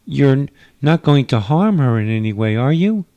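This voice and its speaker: Guy doing a worried alien mom, raised pitch, super polite n pleading, old time radio sci-fi, real dry sound. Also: very animated, moderate pace, no room sound, lo-fi sound. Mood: anxious, hopeful. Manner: courteous, formal, gently pleading. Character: polite, worried alien mother with four arms.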